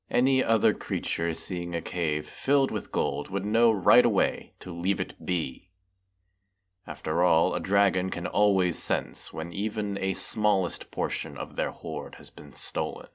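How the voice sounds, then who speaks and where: A man playing a proud, menacing, weary dragon who distrusts humans, talking haughtily in a fantasy audio roleplay. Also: sits low. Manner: slow, deliberate, haughty, with long pauses. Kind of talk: scripted audio roleplay monologue.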